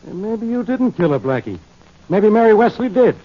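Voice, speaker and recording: Husky, blustering police inspector. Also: male voice, high-pitched, sing-song, moderate pace. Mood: accusing. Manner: gruff, badgering, impatient. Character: blustering, exasperated male police inspector, quick-tempered. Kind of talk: 1940s radio detective drama acting. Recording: muffled 1940s radio recording.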